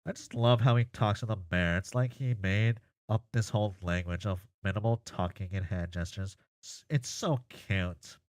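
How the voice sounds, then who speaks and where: A man's voice: fairly low pitch, normal speed, few pauses, no echo, clean studio sound, natural voice. A man playing an earnest, goofy, chatty boy full of silly opinions, rambling playfully in an amateur comic dub. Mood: amused.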